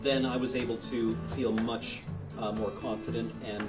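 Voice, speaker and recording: A man's voice: mid-range voice, little pitch movement, moderate pace. A man hosting a livestream, giving casual advice and often searching for his words. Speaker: charity livestream host reading viewer messages. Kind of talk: casual, unscripted advice chat. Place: indoor livestream studio. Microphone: handheld-style microphone close at desk. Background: music in the background.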